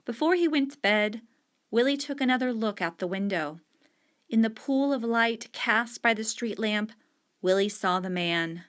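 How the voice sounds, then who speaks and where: A woman reading a short story aloud in a steady, unhurried storytelling manner. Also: fairly high pitch. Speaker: story reader.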